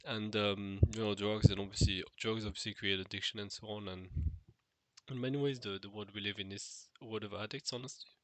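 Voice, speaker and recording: A man's voice: lowish voice, steady tone, fairly quick, dry recording. Nasal-voiced man musing aloud. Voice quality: nasal, stuffed-nose voice. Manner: musing, hesitant, many fillers and restarts. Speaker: vlogger. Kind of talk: unscripted solo monologue.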